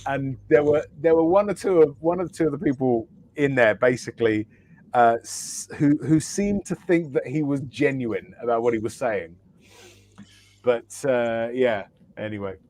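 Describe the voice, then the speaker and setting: A man's voice: mid-range voice, normal speed, a little mellow, rough recording. A man on a fan podcast panel, chatting casually, teasing and telling rambling stories. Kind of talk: casual panel chat with banter.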